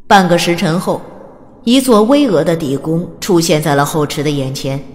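A woman's voice: deep voice, normal speed. A woman reading scripted fantasy narration in a dramatic storytelling style, as an audiobook narrator. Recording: long reverb on the voice. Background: faint music.

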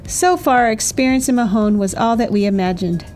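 A woman's voice: fairly high pitch, brisk, darkish tone, faint music behind. A middle-aged woman giving cheerful, chatty scripted voice-over narration for a sailing travel vlog.

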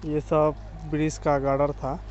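Outdoors on a phone held at arm's length, a young man's voice sits a bit high and stays quite flat as he walks viewers through a construction site. The audio is slightly dull, with faint outdoor noise behind him. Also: measured pace. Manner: plain explanatory, addresses viewers directly. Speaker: construction vlogger. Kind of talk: walking site tour narration.

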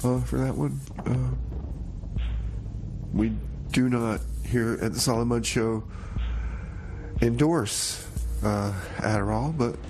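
A man's voice: medium pitch, takes it slowly, pauses often, a little mellow, low-quality recording. A man hosting a music show on the radio, talking casually with comic banter. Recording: noticeable background noise on radio broadcast.

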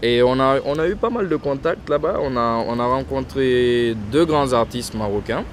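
Young Guinean man, halting, traffic behind. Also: mid-range voice. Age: young adult. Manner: hesitant, many restarts and fillers, trailing off softly. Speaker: painter interviewed. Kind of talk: casual interview answers. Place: outdoors in front of a painted backdrop, street traffic nearby. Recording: outdoor street noise behind voice.

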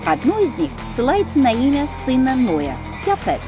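A woman narrating a travel video as its host, in an expressive, storytelling voice-over. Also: high-pitched, normal speed. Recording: voice-over with music behind.

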